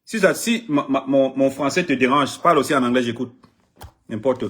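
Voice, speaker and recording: A man's voice: mid-range voice, moderate pace, fairly bright tone, breathy edge. A middle-aged man from Cameroon, an artist manager whose first language is English, speaking French in an impassioned public address, emphatic and repeating himself, often stumbling over his words.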